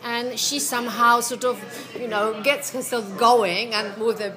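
A woman with a Polish accent, an actress chatting as a guest in a casual pub interview, animated and quick to laugh. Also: fairly high pitch, over music. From Poland. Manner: animated, laughs often, acts out quotes. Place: busy pub. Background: crowd chatter.

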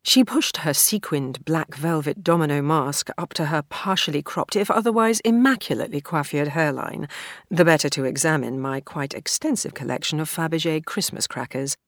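A man giving a measured, literary first-person audiobook narration. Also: a little high, a bit bright, slight background noise.

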